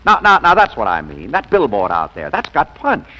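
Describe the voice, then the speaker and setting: Exuberant male executive for vintage radio comedy; wildly swooping pitch, husky, distracted, muffled old recording. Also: wildly swooping pitch, moderate pace, bright, forceful tone, heavily acted. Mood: enthusiastic pitching. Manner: exuberant, distracted, hums to himself. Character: breezy, self-assured businessman boss, giddily lovestruck. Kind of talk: 1940s radio comedy acting.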